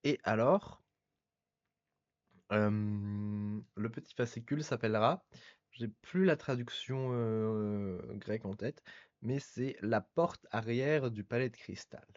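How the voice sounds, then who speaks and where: A man's voice: on the low side, slow, lo-fi sound. A male podcast guest who talks in elaborate, literary phrasing during a casual conversation.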